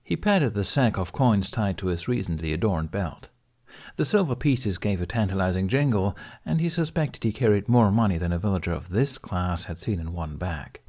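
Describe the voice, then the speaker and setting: Man, lowish voice, audiobook narrator, scripted fiction reading. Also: expressive, normal speed, a little mellow.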